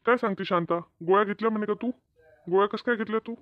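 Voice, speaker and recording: A man performs an exasperated but helpful village father for a cartoon comedy. His voice is high and homely, caught in a dry, slightly dull recording. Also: quick pace, no room sound, natural voice. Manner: chiding, questioning, homely. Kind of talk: amateur cartoon comedy dub.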